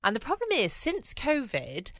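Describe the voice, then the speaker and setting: A woman's voice: on the high side, wildly swooping pitch, moderate pace, a bit bright, no echo. A woman with a southern English accent chatting informally and rambling as a solo podcast host. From United Kingdom. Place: at home, dry close room.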